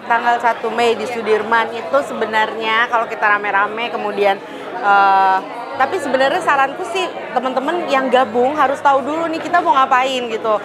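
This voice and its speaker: A woman speaking thoughtfully in an interview, pausing and searching for words. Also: on the high side, a crowd in the background. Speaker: panel speaker. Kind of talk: interview statement.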